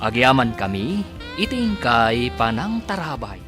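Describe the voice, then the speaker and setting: A man's voice: mid-range voice, big pitch swings, a little slow. A man with an Ilocano accent reading scripted dramatic narration as a radio drama announcer. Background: music bed.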